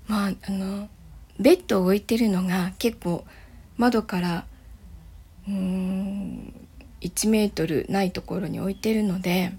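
A woman chatting casually and politely in a soft, breathy voice, with gentle laughs, as the host of a morning audio show. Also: mid-range voice. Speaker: voice actor and audio channel host.

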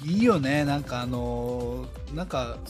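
A man's voice: medium pitch, unhurried. A man with a Kansai accent, chatting casually as a podcast co-host. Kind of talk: casual chat. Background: background music.

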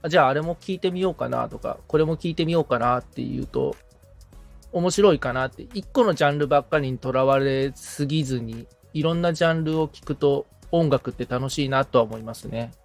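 A man's voice: medium pitch, a little fast, gravelly, soft background music. A man chatting casually as a podcast assistant, attentive and agreeable as he takes in advice. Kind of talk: casual podcast chat with frequent listening responses.

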